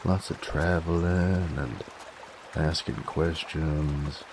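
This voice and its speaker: A man's voice: a bass voice, steady tone, slow, background noise, exaggerated voice. A man voicing a laid-back, teasing, dominant werewolf bounty hunter in roleplay ASMR, with a folksy, wry American Southern or Western drawl.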